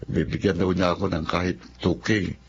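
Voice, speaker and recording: A man's voice: sits low, flat delivery, moderate pace, background noise. A man with a Filipino accent playing an earnest, polite young working student and devoted son in a radio drama. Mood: calm, firm. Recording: dull band-limited radio drama audio, music.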